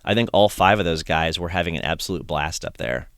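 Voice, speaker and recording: Deep-voiced man hosting a chat. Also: expressive, fairly quick, a bit bright, smooth, no echo, studio quality. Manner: enthusiastic, rambling with restarts. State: voice strained from singing. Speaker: podcast host. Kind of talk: casual conversational recap.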